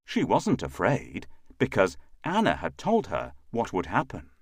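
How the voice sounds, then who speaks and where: A man reads a children's fairy tale as a storyteller. His voice is fairly low, with huge swings in intonation and a slow pace, recorded dry and close. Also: huge pitch swings, husky, no room sound.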